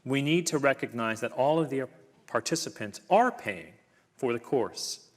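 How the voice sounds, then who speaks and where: A man giving a university public lecture and then answering audience questions in a measured, thoughtful way, with frequent ums and uhs. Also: mid-range voice, lively intonation. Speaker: guest lecturer, professor.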